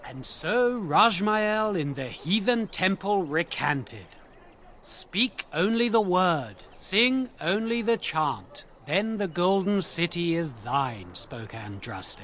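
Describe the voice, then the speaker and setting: Slow, high-voiced pious chanter. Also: male voice, very animated, fairly bright tone, a little hiss or hum, exaggerated voice. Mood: solemn. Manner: declamatory, formal. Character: pious male chanter reciting holy verse, solemn. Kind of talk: video game scripture recital.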